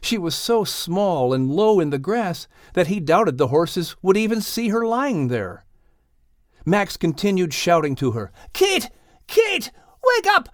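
Slow, expressive male storyteller. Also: high-pitched, no echo, clean studio sound. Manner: dramatic, expressive. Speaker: audiobook narrator. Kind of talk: scripted story narration.

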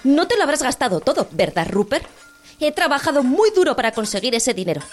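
High female voice, actress as weary scolding wife, sarcastic, worried, audio drama, faint music. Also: very animated, brisk. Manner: reproachful, sarcastic. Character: weary, sharp-tongued working-class wife, scolding and worried.

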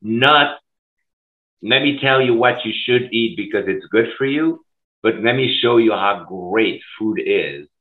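A middle-aged man from Switzerland, a school food services director, speaking passionately and emphatically in an interview over a video call. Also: on the low side. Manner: passionate, emphatic, rapid bursts. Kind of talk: conversational interview. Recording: tinny phone-like line.

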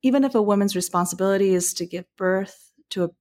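A woman's voice: mid-range voice, fast talker, darkish tone. A woman from Taiwan with a Taiwanese accent, a filmmaker guest on a podcast, explaining thoughtfully and sometimes restarting mid-phrase. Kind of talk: podcast discussion.

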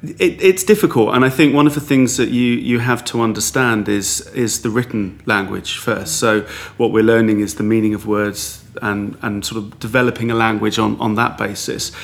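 Guy w a lowish voice, rambly, loads of restarts and you knows. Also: moderate pace, creaky, hardly any pauses. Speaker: guest. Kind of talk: conversational interview.

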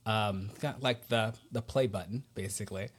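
A man's voice: on the low side, slightly rough. A man hosting a podcast in casual interview chat, enthusiastic and informal. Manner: enthusiastic, informal, quick to laugh. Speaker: podcast host.

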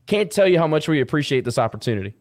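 A man's voice: fairly high pitch, sing-song, racing, a little mellow. A man hosting a podcast in an informal, enthusiastic, conversational interviewing style. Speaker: podcast host.

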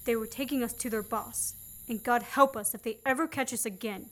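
A woman's voice: a little high, a little fast, lightly acted. A young woman playing a sardonic, commanding man on the run in a student radio play. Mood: earnest. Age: young adult. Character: fugitive man, sardonic, bossy, wrongly accused.

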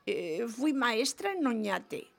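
An actress playing a gushing, rambling ninety-two-year-old widow who calls a radio quiz, in a comic radio play. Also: female voice, fairly high pitch, sing-song, takes it slowly, gravelly, a bit bright, full character voice. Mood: reminiscing, chatty. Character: chatty, lively 92-year-old widowed former nun and teacher. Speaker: quiz show caller. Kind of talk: comic radio theatre acting.

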